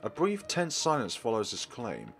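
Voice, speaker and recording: A man's voice: medium pitch, wildly swooping pitch, moderate pace, a bit bright, soft background music. A man reading a visual novel's text aloud expressively as a let's player, in a formal manner with small stumbles. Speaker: let's play streamer. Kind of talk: dramatic read-aloud of game text.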